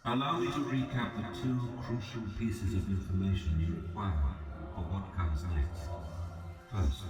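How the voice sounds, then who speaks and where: A male actor playing an ancient fallen god in a video game, grave, ambitious and patient, speaking in solemn, formal, measured oratory. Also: fairly low pitch. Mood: grave, explanatory. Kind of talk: scripted video game character dialogue. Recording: roomy, distant voice over game music.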